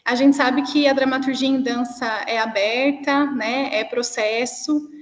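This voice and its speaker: A woman's voice: sits high, steady tone, unhurried, echoey room, big-room sound. A woman with a countryside São Paulo accent, a cultural producer asking a question on a video call, hesitant and thinking aloud with frequent tag questions. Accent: São Paulo countryside (caipira) Brazilian Portuguese. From interior of São Paulo state, Brazil. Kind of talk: online panel question.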